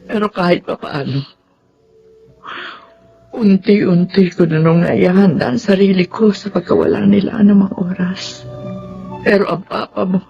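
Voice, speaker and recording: A woman's voice: mid-range voice, fairly even intonation, unhurried, creaky, pauses often, dull audio, faint music behind. An actress with a Filipino accent playing a devoted, distraught middle-aged wife and mother in a radio drama. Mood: sorrowful. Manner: emotive, tearful, lingering delivery.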